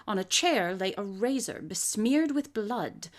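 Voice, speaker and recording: A woman acting as a brilliant lady sleuth in a radio play. She is self-assured and methodical, with precise phrasing and a lively, wide-ranging pitch. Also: measured pace, natural voice. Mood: intent reading. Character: brilliant, self-assured female amateur detective. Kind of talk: live radio drama acting.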